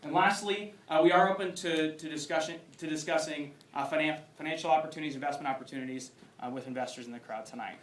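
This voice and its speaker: A man presenting a pitch to a live audience as a startup founder, in an emphatic stage delivery. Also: fairly even intonation, fast talker, slight background noise. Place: indoor event venue, speaking to a crowd. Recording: roomy echoing event hall, distant.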